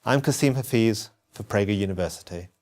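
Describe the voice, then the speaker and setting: A British man delivering a scripted personal testimony as narration, earnest and emphatic, with deliberate phrasing. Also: lowish voice, a little mellow. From England.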